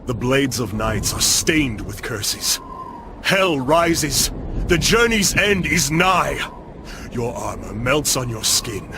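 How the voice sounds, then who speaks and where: An actor playing a deranged cursed warlord in a video game, ranting grandiosely as if many voices share his mouth, with a gravelly voice. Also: mid-range voice, very animated, takes it slowly, noisy background. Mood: raving defiance. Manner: grandiose, raving, theatrical. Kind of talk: dramatic video game cutscene acting. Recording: dramatic music behind the voice.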